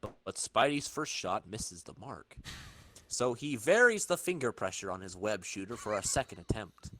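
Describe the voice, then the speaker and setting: A man's voice: fairly low pitch, very animated, normal speed, a bit bright. A man hosting a podcast, informally narrating a comic book in dramatic fashion with banter. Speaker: podcast host reading narration.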